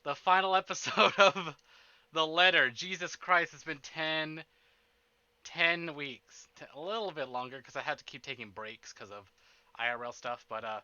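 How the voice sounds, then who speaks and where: A man with a very bright, forward voice pitched a little high hosts a livestream. He chats informally and rambles from point to point. The sound is dry and close, if slightly dull. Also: moderate pace, smooth, no room sound. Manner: informal, rambling, with mild swearing. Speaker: livestream host. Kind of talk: casual livestream chat.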